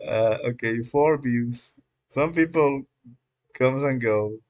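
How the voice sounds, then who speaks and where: A man whose first language is Spanish, chatting casually on a live coding stream in a rambling, halting way, with many fillers and small chuckles. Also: mid-range voice, a little mellow, dull audio. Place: dark room at home.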